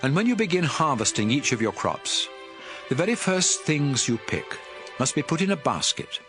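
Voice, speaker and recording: A man narrating scripture in a measured, authoritative manner for a dramatized audio production. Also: a little high, very animated. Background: music.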